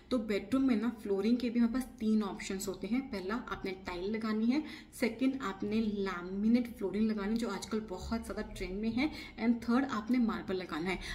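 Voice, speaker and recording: A young woman in her twenties explaining renovation costs straight to camera in a brisk, instructive way. She switches between Hindi and English terms, recorded close up in a small room. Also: on the high side, darkish tone, a little hiss or hum. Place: small indoor room against a plain wall. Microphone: camera facing her at close range.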